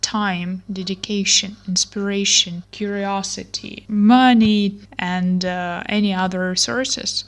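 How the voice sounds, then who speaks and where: A woman's voice: medium pitch, fairly even intonation, slow pace, few pauses. A woman co-hosting a podcast, giving encouraging advice. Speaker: podcast co-host. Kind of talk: podcast discussion.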